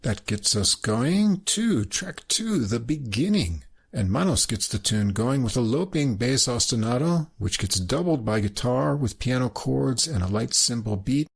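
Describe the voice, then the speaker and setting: A man's voice: medium pitch, animated, unhurried, vocal fry, hardly any pauses, husky. A man hosting a podcast, reading out musician credits and describing recordings in an informative way. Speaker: podcast host.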